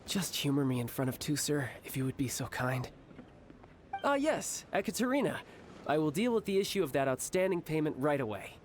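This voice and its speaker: A man's voice: a little high, sing-song, fairly quick, noisy background. A young man playing an affable, courteous big brother and secret agent in a video game. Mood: brisk. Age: young adult. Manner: breezy, courteous.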